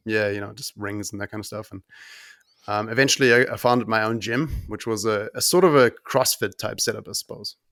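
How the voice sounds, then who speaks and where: Low-voiced man, German and New Zealand accent mix. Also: fairly quick, dry recording, studio quality. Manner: relaxed, rambling, with frequent ums and restarts. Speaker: podcast guest. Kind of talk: casual conversational interview.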